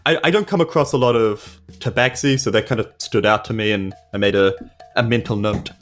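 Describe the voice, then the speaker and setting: A man's voice: lowish voice, brisk, fairly bright tone, faint music behind, natural voice. A man with a New Zealand accent playing a polite, exasperated human adventurer in a tabletop game, hesitant and pleading. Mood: awkward. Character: weary, polite, awkward human male adventurer. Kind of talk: improvised tabletop roleplay.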